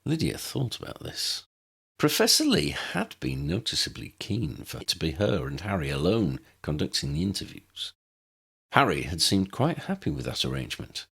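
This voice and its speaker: A man narrates a scripted story in a low, gravelly voice. His delivery is expressive and dramatic, swinging widely in pitch, with a husky edge to the tone. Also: normal speed. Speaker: audiobook narrator reading fan fiction.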